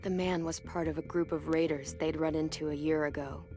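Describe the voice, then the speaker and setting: A woman playing a tough, protective teenage girl survivor in a dramatic video game, speaking in a sober, measured way. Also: deep voice, steady tone, natural voice. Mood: grim recounting. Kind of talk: naturalistic dramatic game acting. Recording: music under the voice.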